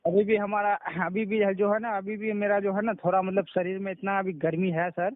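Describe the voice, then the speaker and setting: A man's voice: high-pitched, level tone, a little fast. A man calling by phone, speaking informally and very deferentially as a patient, often repeating himself. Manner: deferential, constantly says sir, repeats himself. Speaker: caller, patient giving a testimonial. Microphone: telephone. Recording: narrowband phone call recording.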